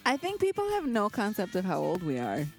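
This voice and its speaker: A woman's voice: medium pitch, huge pitch swings, a little fast, faint music behind. A woman co-hosting a live show in casual, playful banter with a wry, joking way of talking. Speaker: co-host of a live-streamed show.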